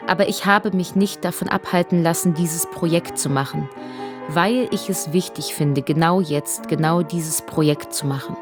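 A woman's voice: fairly low pitch, normal speed, over music. Chatty young woman rambling through a story. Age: young adult. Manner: chatty, rambling, self-correcting. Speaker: performer narrating her family story. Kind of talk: theatrical monologue.

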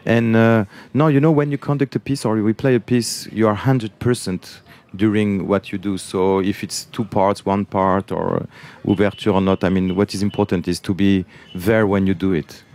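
French conductor, lowish voice. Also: male voice, fairly even intonation, moderate pace. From France. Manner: searching for words, switches languages, warm enthusiasm. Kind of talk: interview answers.

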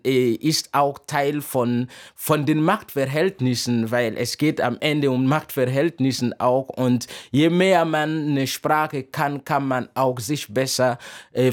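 A man from from there, a musician and doctoral student giving thoughtful interview answers with many pauses and fillers. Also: medium pitch. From Burkina Faso. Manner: thoughtful, frequent hesitations and fillers.

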